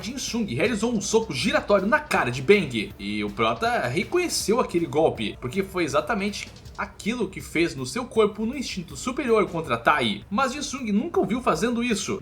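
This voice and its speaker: A man's voice: high-pitched, a bit bright, a little hiss or hum. A man with a Brazilian accent giving a scripted, colloquial narration of a comic story recap.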